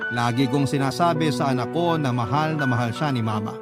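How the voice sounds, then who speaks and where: A man's voice: mid-range voice, brisk. A man narrating a scripted first-person story for a radio drama in a dramatic storytelling style. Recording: loud music bed under the voice.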